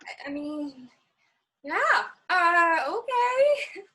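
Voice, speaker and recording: A woman's voice: extremely high pitch, lively intonation, drawn-out words, bright, forceful tone, exaggerated voice. An actress playing a timid, stammering, over-grateful young woman writer in a stage play. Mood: nervous, grateful. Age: young adult.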